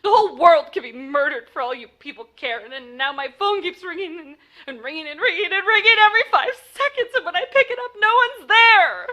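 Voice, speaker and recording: An actress playing a nervous, demanding, indignant bedridden wife on the telephone in a 1940s-style radio play. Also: female voice, very high-pitched, expressive, brisk, hardly any pauses, pushed and bright, exaggerated voice. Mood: indignant, exasperated. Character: imperious, hysterical bedridden invalid wife, frantic on telephone.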